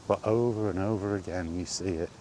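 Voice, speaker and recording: A man giving an unscripted opinion monologue in an emphatic, deliberate manner, leaning on rhetorical questions and repetition. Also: low voice, steady tone, warm tone, a little hiss or hum, low-quality recording. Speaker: video host sharing opinions on gaming.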